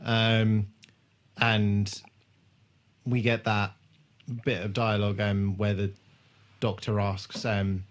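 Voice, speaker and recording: A man's voice: fairly low pitch, flat delivery, takes it slowly, long pauses. A man co-hosting a podcast in casual conversation, thoughtful and a little hesitant, with some casual swearing. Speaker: podcast co-host. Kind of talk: casual chat about a TV show.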